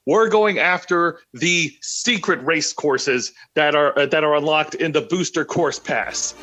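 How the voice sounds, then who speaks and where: Bright, fairly high-voiced male co-host. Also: unhurried. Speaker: live stream co-host. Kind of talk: casual stream chat and announcements.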